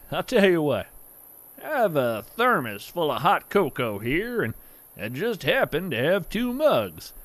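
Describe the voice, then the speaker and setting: A man playing a genial, whimsical naturalist who hosts a fiction podcast, narrating in a gentle, earnest, literary manner. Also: fairly high pitch, no room sound. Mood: friendly, hospitable. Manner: measured, gentle, earnest delivery with florid wording. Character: genial, whimsical male naturalist hosting strange creatures by campfire.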